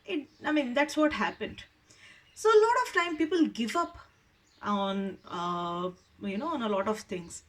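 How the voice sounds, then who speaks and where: Indian-accented woman, informal storyteller, higher voice, conversational and explanatory, recorded at some distance from the mic.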